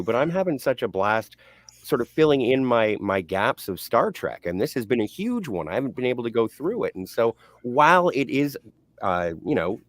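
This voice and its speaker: A man's voice: mid-range voice, no room sound. A man chatting casually as a livestream panelist, enthusiastic and friendly. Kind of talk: casual chat.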